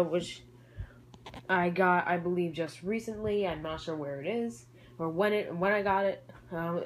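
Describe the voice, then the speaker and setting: Someone giving a casual, rambling show-and-tell of a collection, often trailing off and correcting themselves, recorded on homemade camera audio. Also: mid-range voice. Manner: hesitant, trailing off and self-correcting. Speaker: YouTube hobbyist presenting a collection. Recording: homemade camcorder audio with noticeable background noise.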